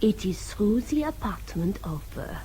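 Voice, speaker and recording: An actress playing a fond, wily Austrian housekeeper in a radio play, with deliberate, conspiratorial phrasing and a German-speaking Austrian accent. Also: female voice, mid-range voice, big pitch swings, warm tone, slight background noise, rough recording. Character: warm, wily Austrian housekeeper, defiant of police. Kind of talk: radio drama acting.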